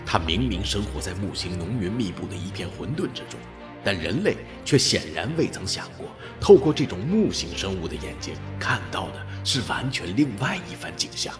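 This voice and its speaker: A man's voice: deep voice, very animated, normal speed, music in the background. A man acting in a radio drama as a philosophical alien creature who pities humans, reflective and declamatory.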